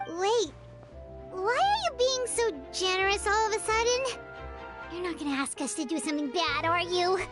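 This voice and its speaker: A tiny chirpy fairy girl for a video game, super high squeaky voice, bouncy, music behind. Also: lively intonation, moderate pace. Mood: suspicious. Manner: speaks of herself in third person. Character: small childlike female fairy companion, chirpy and earnest. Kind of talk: video game character dialogue.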